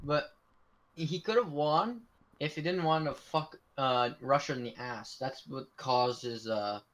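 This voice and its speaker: A young man co-hosting a casual chat, eager and quick to argue and correct the others. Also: medium pitch, a bit bright, rough recording. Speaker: co-host.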